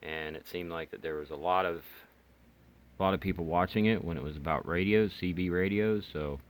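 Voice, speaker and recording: A man's voice: low voice, a little fast. A man giving a casual, unscripted hobbyist product demonstration, talking in a hesitant, rambling way. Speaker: hobbyist video host reviewing radios. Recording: clear background noise.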